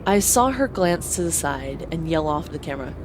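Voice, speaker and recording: A woman's voice: sits low, expressive, normal speed, over music, poor audio. A woman playing an earnest young space pilot who narrates her own story in an audio drama. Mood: reflective narration. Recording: clear vehicle ambience behind voice.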